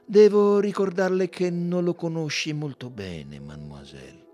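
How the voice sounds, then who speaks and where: A man voicing a shrewd, courteous detective from a novel, self-assured and theatrical, with emphatic, deliberate delivery. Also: on the high side, lively intonation, warm tone, muffled recording, faint music behind, pitched above the performer's own voice, close to an everyday voice. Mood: insistent, calm.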